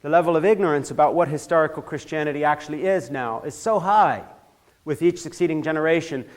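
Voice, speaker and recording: An older man from Switzerland lectures in a church with a fairly high voice. He builds from measured remarks to heated, forceful emphasis and often trips over and restarts his phrases. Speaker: speaker giving a church talk. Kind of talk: impassioned lecture.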